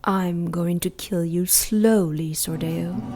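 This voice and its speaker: A woman's voice: fairly low pitch, steady tone, takes it slowly, background noise. An actress playing an ancient female demon in an audio drama, proud, wicked and taunting. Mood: defiant, vengeful. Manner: sardonic, taunting.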